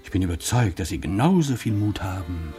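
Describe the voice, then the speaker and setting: An actor playing a wry, nervous professor in a German radio play, speaking dryly and stammering with "äh" when flustered. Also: male voice, deep voice, big pitch swings, a little fast, warm tone, soft background music. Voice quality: gravelly. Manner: dry and cautious, flustered and stammering under pressure. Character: nervous, wry older male professor conspirator. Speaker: professor and undercover policeman.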